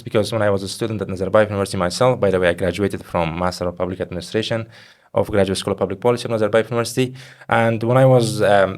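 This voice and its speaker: Low voiced guy hosting a podcast, talks quick, friendly questions. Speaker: podcast host. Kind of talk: podcast interview.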